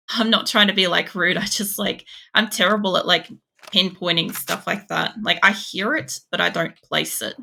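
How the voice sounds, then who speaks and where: A woman's voice: lowish voice, fairly even intonation, normal speed, gravelly, pushed and bright, slight background noise. A young Australian woman with an Australian accent streaming a game, chatting casually with viewers, rambling with lots of fillers and swearing.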